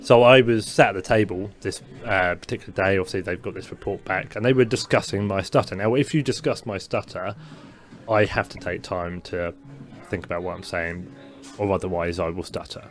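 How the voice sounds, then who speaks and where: A young man with a mild stutter who co-hosts a podcast, telling a personal story in casual chat with many fillers and halting restarts. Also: low-pitched, steady tone, faint music behind. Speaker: podcast co-host.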